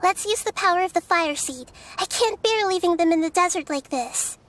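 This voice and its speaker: A woman voicing a wise, caring young girl goddess who speaks calmly and gently in a video game. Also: very high voice, moderate pace, slight background noise. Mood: gentle. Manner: calm, gentle, reassuring. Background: game music.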